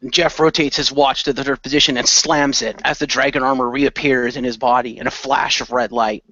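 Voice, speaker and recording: A man's voice: medium pitch, fairly even intonation, fairly quick, sharp tone, dry recording, muffled recording. A man playing a role-playing game, improvising third-person narration and speaking in character.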